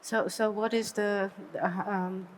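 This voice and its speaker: A woman's voice: medium pitch, level tone, slow pace, a little hiss or hum. A woman from the Netherlands interviewing a guest on a conference studio set, affirming often and searching for her phrasing.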